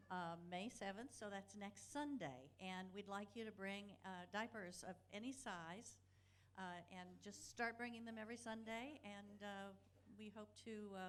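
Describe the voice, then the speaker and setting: A woman making an informal church announcement in a halting, unpolished way. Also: mid-range voice, fairly bright tone.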